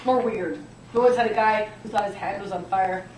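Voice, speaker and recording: A woman's voice: medium pitch, brisk, lightly acted. A woman reading the part of an awkward, rambling young female doctor in a comedy table read, picked up from across an echoey room with noise. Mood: awkwardly amused. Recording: echoey, distant mic with hiss and clicks.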